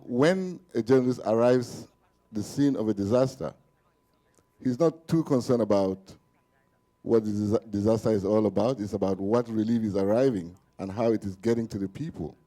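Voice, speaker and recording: A man's voice: mid-range voice, dark tone. A man in the audience asking a panel a serious, explanatory question about journalists and relief workers. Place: conference room.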